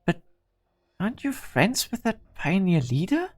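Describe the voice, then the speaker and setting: A worried young engineer pleads with rising concern, her pitch swinging lively and expressive, voiced by a woman. Her tone is anxious and earnest. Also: measured pace.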